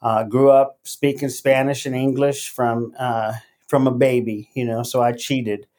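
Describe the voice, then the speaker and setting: A middle-aged man who grew up in Latin America talks slowly and hesitantly, with lots of fillers and restarts. His pitch sits in the middle range. He sounds like a guest settling into a casual interview. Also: little pitch movement, darkish tone. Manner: halting, many fillers and restarts. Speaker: podcast guest.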